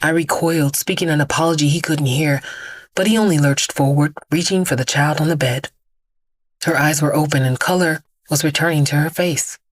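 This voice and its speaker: An actress playing an immortal vigilante woman, weary, haunted and defiant, telling her story in an intense, measured audio drama monologue with hushed drops. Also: deep voice, dry recording.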